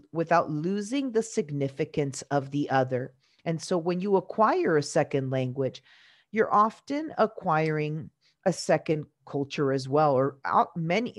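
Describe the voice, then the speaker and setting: A woman in her forties, a teacher, giving a conference presentation, born in Venezuela with Italian as her first language. She speaks earnestly and carefully, often pausing to find her words. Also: low-pitched, dry recording.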